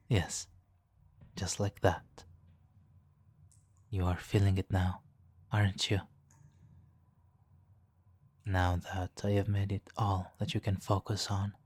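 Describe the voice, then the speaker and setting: Man voicing a slow, smug, flirtatious supervillain. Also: sits low, little pitch movement, darkish tone. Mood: hypnotic, commanding. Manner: teasing, mock-polite, savoring pauses, chuckling often. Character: smug, flirtatious male supervillain toying with a captured hero. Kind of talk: ASMR roleplay, slow intimate monologue. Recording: faint background noise.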